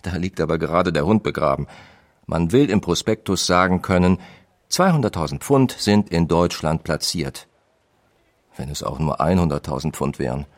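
A nineteenth-century industrialist pressing his brother by letter. The voice is very deep yet swings widely in pitch as he urges. It sits dry and close, with no room around it. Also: lively intonation, normal speed, no room sound. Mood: urging. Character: German industrialist brother writing urgent business letters. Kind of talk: reading historical letters in a radio feature.

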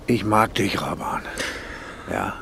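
A man's voice: on the low side, sing-song, slow, vocal fry, sharp tone, a little hiss or hum, full character voice. Man playing a taunting, domineering village bully. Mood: menacing, probing. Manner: taunting, domineering, needling questions. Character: menacing, mocking village man named Nissen, crude bully. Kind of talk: audio drama dialogue.

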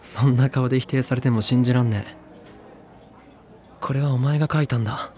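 Male actor as cocky teen boy, slangy, breezy and pushy, anime audio drama, clear music underneath. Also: mid-range voice, fairly even intonation, fairly quick, a little mellow, close to an everyday voice. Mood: insistent. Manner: casual slangy speech, confident.

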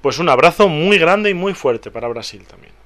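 A man's voice: fairly high pitch, animated, moderate pace, fairly bright tone. A man co-hosting a casual tech podcast, reading listener mail in a loose, chatty way full of fillers and restarts. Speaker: podcast co-host.

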